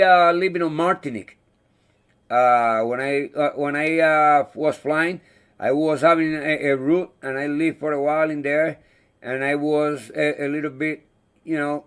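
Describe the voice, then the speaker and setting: Man, non-native English speaker, live chat host, friendly, halting delivery, resonant voice. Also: fairly high pitch, a bit bright, clear, resonant tone. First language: not English. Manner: halting, searching for words; friendly, thanks viewers by name. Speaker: livestream host. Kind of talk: casual live-chat monologue.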